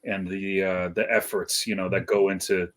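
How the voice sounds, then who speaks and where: Laid-back lower-voiced man from Iceland. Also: flat delivery, normal speed, fairly bright tone. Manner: laid-back, modest, many fillers and restarts. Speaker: guest DJ. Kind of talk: casual interview chat.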